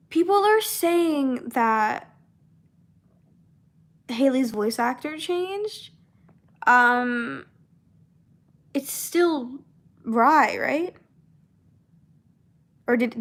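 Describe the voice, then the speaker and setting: A young woman with a fairly high voice talks very slowly in an informal, unscripted chat. She wanders through her thoughts haltingly, repeating words and letting sentences trail off. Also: fairly bright tone. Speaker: voice actor and comic dub creator chatting with viewers. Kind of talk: casual unscripted discussion.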